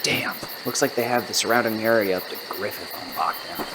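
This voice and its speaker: An actor playing an excitable, naive young band musician sidekick in an audio drama. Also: male voice, fairly low pitch, fairly quick, lightly acted. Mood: urgent. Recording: noisy car and outdoor night sounds.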